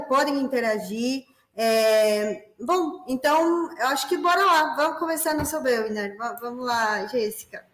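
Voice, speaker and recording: A woman from Peru hosts a corporate webinar in a higher voice and a Peruvian Spanish accent. She talks in a friendly way and fills her speech with small tags. The sound is roomy and echoey, as on a video call. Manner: friendly, many fillers like "né" and "tá". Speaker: webinar host, business development manager.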